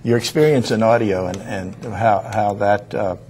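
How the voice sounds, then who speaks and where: Guy hosting an interview, kinda low voice, halting, some noise behind. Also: a little mellow. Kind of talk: conversational interviewing.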